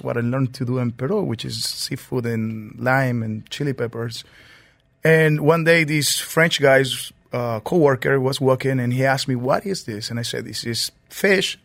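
A man from Venezuela, a chef guest in a radio studio, telling his story in a relaxed way in English. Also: mid-range voice, vocal fry, no echo. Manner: relaxed storytelling, non-native phrasing. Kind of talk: interview answers.

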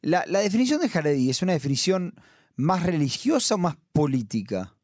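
A man's voice: fairly high pitch, very animated, brisk, darkish tone, no echo, studio quality. A man, asking conversational questions as a radio interviewer.

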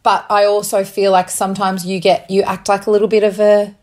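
A woman's voice: mid-range voice, level tone, brisk, a little mellow. A young woman in casual conversation with her partner, rambling with lots of fillers and the odd swear. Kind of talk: casual couple chat.

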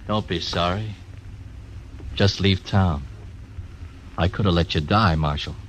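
A hard, fearless gambler. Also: male voice, sits low, measured pace. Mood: menacing. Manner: menacing, blunt. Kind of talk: 1940s radio acting. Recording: dull old radio recording, faint hiss.

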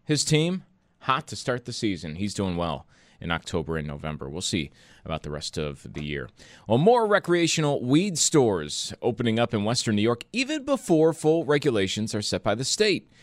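A man's voice: on the low side, wildly swooping pitch, moderate pace, dry recording. A man hosting radio news in a conversational style, close on a studio microphone.

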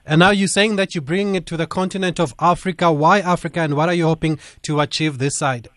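A male radio host introduces the guest and asks short, measured questions. His voice sits a little high, and it sounds close and dry, with no room echo. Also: steady tone, fairly bright tone. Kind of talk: radio interview hosting.

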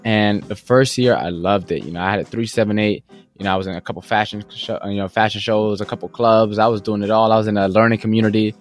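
Young man telling his story, low voice. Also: fairly even intonation, faint music behind. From United States. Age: young adult. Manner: earnest, fast-flowing, frequent "you know" and "right?". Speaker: guest entrepreneur. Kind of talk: interview storytelling.

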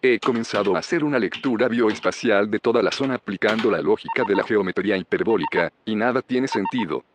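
A man's voice: lowish voice, fairly quick, close to an everyday voice. A man playing an eager, easily amazed scientist in an audio drama, speaking earnestly and technically. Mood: fascinated. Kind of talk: Spanish audio fiction acting. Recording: clearly noisy background.

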